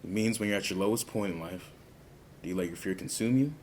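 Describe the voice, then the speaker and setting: An actor as a low-voiced, calm male interrogator. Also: fast, slight background noise, lightly acted. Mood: calm, probing.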